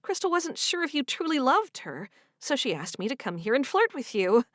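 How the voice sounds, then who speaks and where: A woman's voice: big pitch swings, normal speed, fairly bright tone, no echo, clean studio sound. A woman playing a bold, defensive young woman. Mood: nervous. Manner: pleading, high and emphatic.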